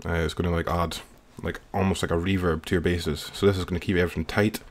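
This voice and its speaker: A man's voice: sits low, little pitch movement, fast talker. A man giving a casual, informal tutorial as a music producer, explaining in a hesitant way. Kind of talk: casual screen-recorded software tutorial. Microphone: voice-over recorded while working at computer.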